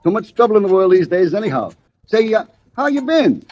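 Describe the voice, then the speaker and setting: A friendly old shopkeeper in a vintage radio play, high-voiced and husky, with very animated swoops. He chats in a folksy way on dull, aged audio. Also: fairly quick. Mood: chatty, friendly. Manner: folksy, chatty. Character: chatty, friendly old shopkeeper called Pop. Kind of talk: 1940s radio acting.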